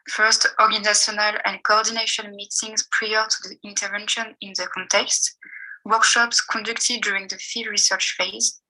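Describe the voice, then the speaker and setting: Bright-voiced woman reading a paper. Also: mid-range voice, low-quality recording. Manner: careful, measured reading with pauses between phrases. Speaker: PhD student presenter. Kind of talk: reading a seminar paper aloud.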